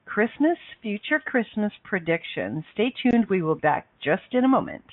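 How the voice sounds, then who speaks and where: A woman's voice: mid-range voice, big pitch swings, normal speed. A woman hosting a call-in radio show, chatty and tripping over her words now and then. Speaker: radio show host, coach. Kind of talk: casual radio chat. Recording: phone-line call-in audio.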